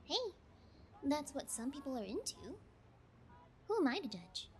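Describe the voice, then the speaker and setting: A high, unhurried female voice performed by a woman plays a sociopathic kidnapper in an audio roleplay. She is nonchalant and taunting, bullying her captive with playful menace. A faint noise sits behind the voice. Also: very animated. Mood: playful, smug. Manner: taunting, nonchalant, slow and deliberate. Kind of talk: ASMR audio roleplay acting.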